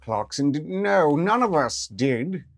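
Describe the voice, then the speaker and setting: A man voicing a calm female researcher who speaks slowly and evenly. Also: on the high side, gravelly, dry recording, clean studio sound, soft background music, pitched above the performer's own voice, heavily acted. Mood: measured. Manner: slow, measured, level.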